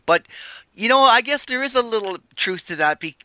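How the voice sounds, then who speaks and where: An older man hosting a radio show, chatting in a relaxed, rambling way. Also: extremely high pitch, moderate pace, no room sound. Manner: relaxed, chatty, wandering sentences. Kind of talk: casual interview chat.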